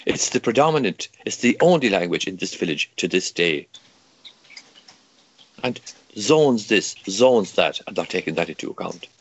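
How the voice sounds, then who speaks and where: A man's voice: deep voice, expressive, normal speed, slightly rough, highs cut off. An older man from Kerry with an Irish accent, a native Irish speaker talking in English, arguing emphatically in an interview with many stammered restarts and rhetorical questions. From Ireland. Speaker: guest, campaigner. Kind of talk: impassioned interview argument.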